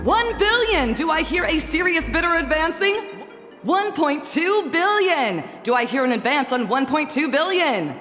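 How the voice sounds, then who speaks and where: A woman voicing a poised, formal female auctioneer in a video game cutscene, announcing in a measured way. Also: high voice, expressive, a bit bright. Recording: studio voice with long reverb and music.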